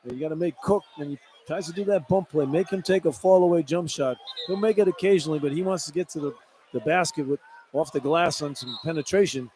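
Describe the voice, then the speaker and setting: A man's voice: fairly high pitch, quick pace, a little mellow. Folksy male color analyst on noisy feed. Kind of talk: informal basketball color commentary. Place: indoor basketball arena. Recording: noisy live arena radio feed. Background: clear arena noise.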